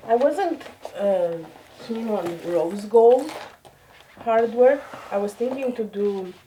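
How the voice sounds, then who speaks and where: A woman's voice: fairly high pitch, lively intonation, slow pace, recorded from a distance, background noise. Nasal woman with a cold, chatting while sewing. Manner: chatty, self-deprecating, talks while working. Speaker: sewing vlogger. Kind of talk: casual sewing tutorial. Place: home craft room.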